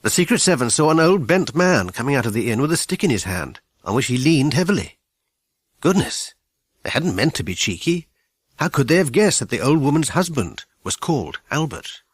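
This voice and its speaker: A man narrating a children's story in an expressive, scripted storytelling manner. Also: mid-range voice, moderate pace, slightly rough, no echo, studio quality. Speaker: audiobook narrator.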